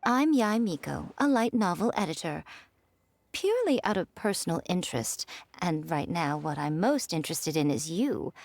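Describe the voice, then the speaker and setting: A woman's voice: fairly low pitch, big pitch swings, normal speed, gravelly, a little mellow, no echo, heavily acted. A woman voicing an elegant, sly priestess and editor in a video game, with a poised, teasing, theatrical manner. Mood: intrigued.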